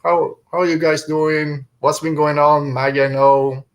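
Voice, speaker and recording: A man's voice: fairly high pitch, little pitch movement, unhurried, rough recording. A man hosting a podcast in a casual, rambling, joking way. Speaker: podcast host.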